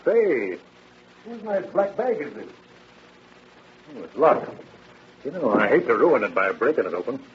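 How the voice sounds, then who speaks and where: An actor playing a brisk, confident male police detective, pressing and wry, in a 1930s-style radio crime drama. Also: medium pitch, very animated, slightly rough, exaggerated voice. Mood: smug, wry. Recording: dull old radio recording.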